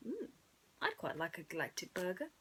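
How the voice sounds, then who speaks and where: Woman, children's storyteller: very lively intonation, bright delivery, playful and theatrical with chatty asides. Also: lowish voice, moderate pace, breathy edge. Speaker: children's bedtime story reader.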